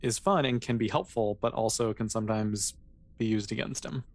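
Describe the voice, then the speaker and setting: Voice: mid-range voice, normal speed. An American game master from Florida chatting casually and informally while hosting and explaining a tabletop game. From Florida, United States.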